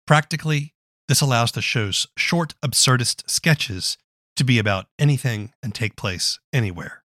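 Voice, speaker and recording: A man's voice: mid-range voice, normal speed, pushed and bright, dry recording, clean studio sound. A man working as interviewer and narrator, reading a scripted radio feature.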